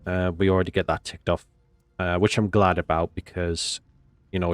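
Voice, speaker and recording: A man's voice: low voice, no room sound. A man with a cold and a nasal voice, giving casual gameplay commentary in a rambling, hesitant way. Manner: rambling, hesitant with many fillers. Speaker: game commentator.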